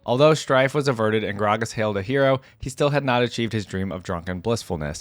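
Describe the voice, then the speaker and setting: A man's voice: lowish voice, fast talker, dry recording. Casual male reaction host, dry close sound. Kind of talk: casual reaction commentary, reading aloud.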